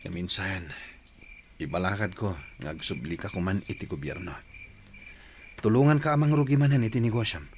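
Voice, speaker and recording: A man's voice: low-pitched, animated, normal speed, long pauses, slight background noise. A man playing a concerned village man in an Ilocano radio drama, an elder brother sheltering his rebel cousin. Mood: earnest, concerned.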